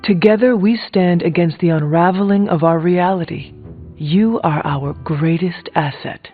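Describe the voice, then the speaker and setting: Formal warrior heroine played by an actress, phone-like sound. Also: female voice, lowish voice, measured pace, close to an everyday voice. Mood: grave, resolute. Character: solemn supernatural warrior hero, game protagonist. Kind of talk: scripted video game dialogue. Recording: muffled phone-like band, music behind.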